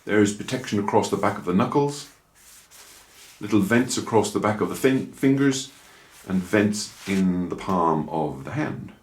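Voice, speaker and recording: A man's voice: on the low side, lively intonation, moderate pace. A middle-aged Irish man in his fifties, chatting casually as he reviews motorcycle gloves at home, rambling with dry asides. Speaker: motorcycle vlogger. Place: small room at home, indoors. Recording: echoey small room.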